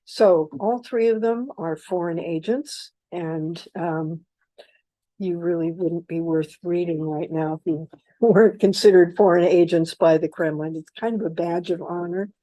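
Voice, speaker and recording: A woman moderating a live panel discussion, speaking informally in halting phrases with asides. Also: on the low side, warm tone, dry recording, poor audio. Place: live panel event at a university.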